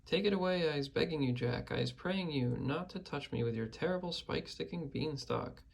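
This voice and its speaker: A man's voice: mid-range voice, sing-song, normal speed, creaky, darkish tone, rough recording. A man voicing a huge, brutish, man-eating male giant who screams, bellows and begs for mercy. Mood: pleading. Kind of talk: audiobook reading.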